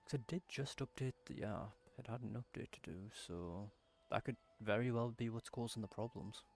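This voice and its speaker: A man's voice: fairly low pitch, normal speed, creaky, faint music behind. A man giving casual live commentary while playing a game, muttering and thinking aloud. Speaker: game streamer.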